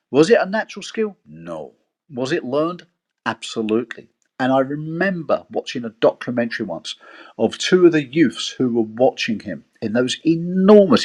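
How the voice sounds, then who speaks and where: A man in an online discussion, telling a history story with animated rhetorical questions. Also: mid-range voice. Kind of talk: casual storytelling.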